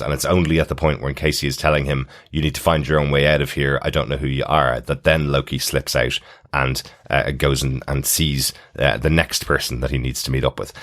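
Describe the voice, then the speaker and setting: A man's voice: very deep voice, fairly bright tone. A man co-hosting a review podcast, talking casually and explaining things in a conversational way. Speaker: podcast co-host. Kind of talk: casual TV episode review chat.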